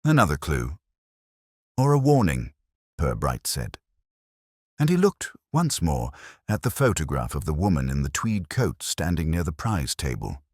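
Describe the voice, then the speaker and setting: A man narrates a scripted mystery in a very low register. His delivery moves expressively up and down, with a smooth, resonant tone and no room sound. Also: big pitch swings, moderate pace, no echo, clean studio sound. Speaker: narrator and audiobook-style reader.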